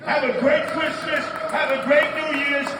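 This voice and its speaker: A man's voice: very high voice, level tone, a little slow, noisy background. A man giving an impassioned rally speech, emphatic and repetitive, declaiming his points. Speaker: rally speaker and radio host. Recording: echoey public address from far off.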